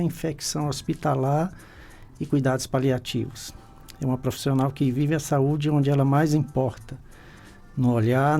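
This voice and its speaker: A man's voice: mid-range voice, dark tone. A man, hosting a live radio podcast interview.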